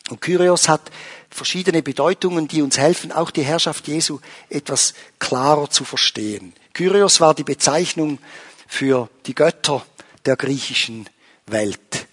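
A man preaching a sermon to his congregation, rising in pitch and volume when he stresses a point. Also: measured pace, husky. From Switzerland. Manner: animated, rises in pitch and volume for emphasis. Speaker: pastor.

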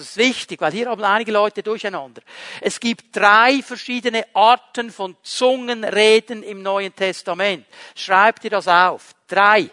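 A man's voice: high-pitched, a bit bright, dry recording. A man teaching a Bible passage, repeating key points and speaking straight to the listener. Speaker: preacher.

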